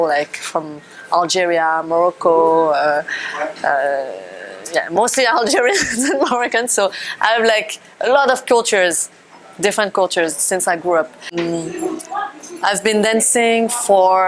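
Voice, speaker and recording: A woman's voice: medium pitch, rough recording. Thirties Frenchwoman recounting her dance career in English, hesitant and filler-heavy. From France. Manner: hesitant, many fillers and restarts. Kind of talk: casual personal account.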